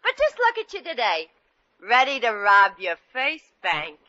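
A woman's voice: a little high, wildly swooping pitch, unhurried, bright, forceful tone, no echo, highs cut off, exaggerated voice. An actress playing a crooked, bossy, doting elderly mother in 1940s radio comedy, with colloquial, comically exaggerated delivery on an old recording.

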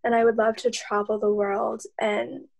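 Young woman on a zoom panel, vocal fry, bit high, pretty flat intonation. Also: moderate pace. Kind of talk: casual panel talk. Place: indoors on a video call. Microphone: video call microphone.

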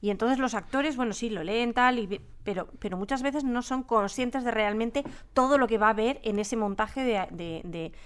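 A woman's voice: fairly high pitch, moderate pace, few pauses, clear, resonant tone. A woman with an accent from Spain explaining her work as a director in a conversational interview. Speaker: radio drama director, interviewee. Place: radio drama studio.

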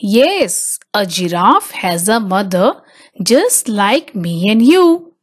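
A woman reading a children's picture book aloud as narrator, with simple, deliberate phrasing for small children. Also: mid-range voice, sing-song. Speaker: storybook narrator.

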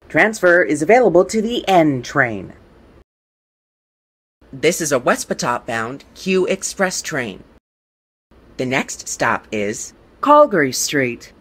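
Low, slow woman train announcer. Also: slightly rough. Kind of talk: scripted transit announcement.

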